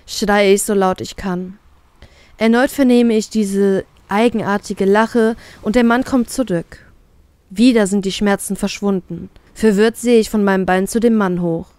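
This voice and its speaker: A woman's voice: medium pitch, fairly even intonation, a little slow, darkish tone, clear, resonant tone, no room sound. A woman narrating a scripted first-person story as an audiobook. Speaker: narrator of a fan fiction story.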